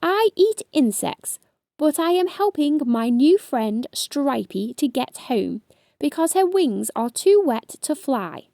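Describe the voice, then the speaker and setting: A woman's voice: big pitch swings, takes it slowly, gravelly, warm tone, dry recording, studio quality, full character voice. Woman doing a timid little hedgehog boy. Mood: pleading. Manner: nervous, earnest, squeaky when scared. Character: small brave young male hedgehog.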